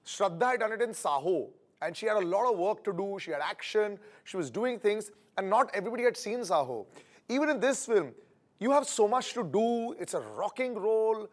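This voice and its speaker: A middle-aged male host interviews a film guest in a relaxed, chatty way. His voice sits high for a man, and his questions wander on with fillers before landing. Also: brisk. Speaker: entertainment interviewer.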